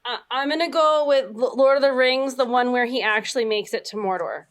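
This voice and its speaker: High-voiced woman bantering casually. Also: brisk, clear, resonant tone. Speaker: game show panelist.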